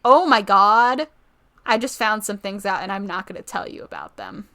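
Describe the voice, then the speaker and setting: A woman with a bright, forward voice and a medium pitch talks as a podcast co-host. Her delivery is informal and rambling, and she often stops to correct herself mid-thought. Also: very animated, moderate pace. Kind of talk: casual chat about a TV show.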